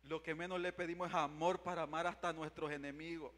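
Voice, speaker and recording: A man's voice: a little high, fairly even intonation, quick pace, a bit bright. A young man preaching a fervent revival sermon, pacing the stage with a handheld mic and calling out to his listeners again and again. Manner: impassioned, repeats "mi amado hermano", paces the stage. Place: large church sanctuary. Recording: long church reverb.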